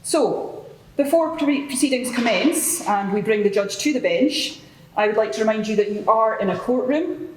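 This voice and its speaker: A woman playing a formal, orderly court clerk who briefs the public in a measured, official way. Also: a little high, expressive, natural voice. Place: large courtroom with audience. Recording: echoey hall, faint background noise.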